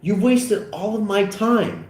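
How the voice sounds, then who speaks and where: A fed-up teenage boy acted by a man at a script reading, indignant and sarcastic. His pitch sits well above a grown man's talk and jumps around a lot. The sound is roomy and echoey. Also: big pitch swings, slow pace, background noise. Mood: cold, fed up. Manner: indignant, cutting. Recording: echoey room.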